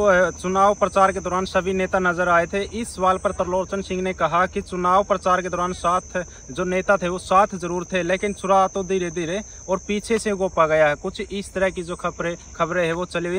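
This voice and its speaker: High-pitched man reporting news. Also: fairly bright tone, rough recording. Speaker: news presenter. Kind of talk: informal news report addressed to viewers.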